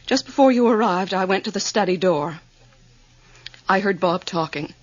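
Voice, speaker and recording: A woman playing an anxious, weary wife and mother in a radio drama, with a husky, breathy voice. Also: medium pitch, animated, moderate pace, no room sound, lightly acted. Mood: worried, strained. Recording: dry, dull lo-fi studio audio.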